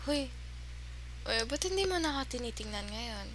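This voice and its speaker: Higher-pitched, teasing Filipino gamer girlfriend played by a woman. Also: expressive, normal speed, background noise. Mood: teasing, excited. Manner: teasing, giggly, sighing, mixes English into Tagalog. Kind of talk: ASMR girlfriend roleplay.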